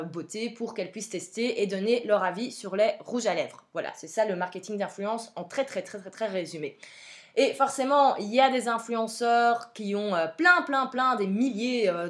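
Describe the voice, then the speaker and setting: A young woman with a Belgian French accent hosting a podcast, chatty and frank with plenty of fillers. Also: medium pitch. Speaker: podcast host. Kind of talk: casual advice podcast.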